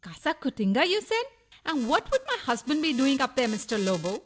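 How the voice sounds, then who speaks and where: A woman's voice: high-pitched, sing-song, a little fast, slight background noise. A woman acting an indignant, naive wife in a farcical radio play, with an exaggeratedly questioning delivery. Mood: indignant, puzzled. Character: indignant, naive wife calling about her husband.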